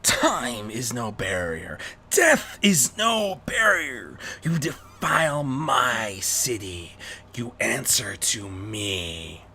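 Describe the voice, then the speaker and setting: A man voicing a unicorn heroine posing as a menacing demonic bat, with a gravelly growl and drawn-out threats. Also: medium pitch, very animated, pushed and bright, slight background noise, full character voice.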